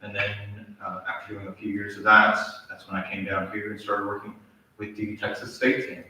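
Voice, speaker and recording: A man's voice: lowish voice, monotone, normal speed, bright, forceful tone. A man introducing himself on a panel, casual and self-deprecating. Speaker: university security staff. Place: lecture theatre. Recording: echoey room from far off.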